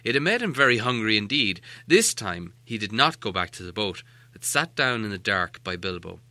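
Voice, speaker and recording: Audiobook narrator, male: dramatic, animated storytelling, very crisp bright edge, dry sound. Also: on the low side, moderate pace, no room sound.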